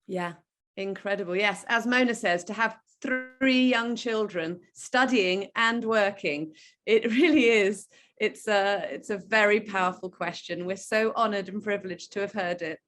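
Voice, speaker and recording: A woman hosts an online event over a video call. She heaps praise on the speaker, and her voice often drops away softly at the ends of sentences. She talks at an ordinary pitch. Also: unhurried. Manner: effusive, warmly praising, trails off softly. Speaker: event moderator. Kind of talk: enthusiastic video call hosting.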